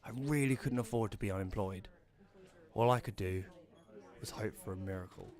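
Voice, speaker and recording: British guy acting narrating audio drama as a grumbly columnist, lowish voice, wry n chatty. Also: normal speed, a little mellow, lightly acted. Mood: wry narration. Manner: wry, conversational. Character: wry, grumbling young male advice columnist. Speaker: narrator and lead character.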